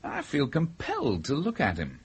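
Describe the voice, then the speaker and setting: An actor playing an affable, well-bred British husband in a radio drama, chatty and a little hesitant. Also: male voice, medium pitch, wildly swooping pitch, moderate pace, husky, full character voice. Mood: fascinated, eager. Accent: British English.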